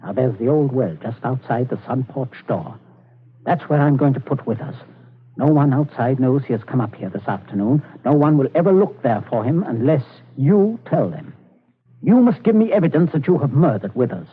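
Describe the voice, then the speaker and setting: A cold, clipped middle-aged father, threatening with quiet calm. The voice is husky and swings widely in pitch. It comes through a tinny old radio broadcast. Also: normal speed. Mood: menacing calm. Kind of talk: 1940s radio acting.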